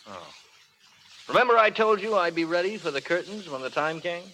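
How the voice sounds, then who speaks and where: A fading male movie star, proud yet anxious and wounded. His voice is sharp and forward, in 1930s radio drama style, over a noisy vintage broadcast. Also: high voice, lively intonation, a little fast, heavily acted. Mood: wounded, resigned.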